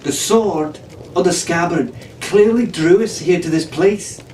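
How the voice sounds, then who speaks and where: A high, eager young pirate woman from a storybook, put on by a male reader. She is adventurous and sure of herself, quick to get excited. The sound is roomy and a little distant from the mic. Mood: insistent. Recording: echoey room, distant mic, faint hiss.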